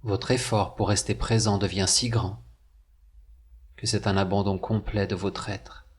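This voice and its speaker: A man narrating a scripted guided meditation, with measured, deliberate phrasing and pauses. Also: lowish voice, flat delivery, breathy edge.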